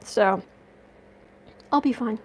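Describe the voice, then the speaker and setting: A woman hosting a casual livestream, chatty and rambling as she reads viewer comments, her voice slightly raspy from dust allergies. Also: a little high, takes it slowly, darkish tone, a little hiss or hum. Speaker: tarot and astrology livestream host.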